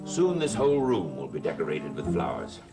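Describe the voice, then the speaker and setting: A man's voice: extremely low pitch, huge pitch swings, a little fast, vocal fry, full character voice. An actor playing a suave, cruel stepfather in a 1970s TV soap opera, sardonic and mocking. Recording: music score behind voice.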